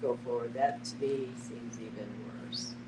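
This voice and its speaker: A woman's voice: very deep voice, fairly even intonation, measured pace. A mature woman thinking aloud hesitantly as she takes part in a casual, thoughtful discussion. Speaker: discussion participant. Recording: very noisy room, distant mic.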